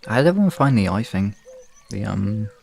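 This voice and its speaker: Low, gravelly man chatting casually. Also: lively intonation, brisk, a little mellow. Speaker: hypnosis ASMR streamer. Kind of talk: casual live-stream chat.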